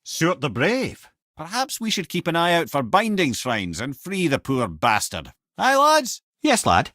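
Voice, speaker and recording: A man's voice: fairly high pitch, unhurried, dry recording, clean studio sound, exaggerated voice. A man voicing a witty, worldly old sage for a video game, telling tales with a Scottish accent in an eloquent, avuncular way. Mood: encouraging. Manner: wry, eloquent, avuncular, expressive. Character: witty old severed talking head, worldly storyteller sage. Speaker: companion and storyteller. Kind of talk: video game voice acting, storytelling.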